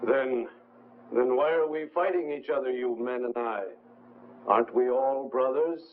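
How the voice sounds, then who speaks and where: An actor playing an earnest, formal revolutionary politician in a dramatic, declamatory 1950s film style. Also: male voice, mid-range voice, a little slow, close to an everyday voice. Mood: earnest, pleading. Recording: tinny vintage film soundtrack, loud music.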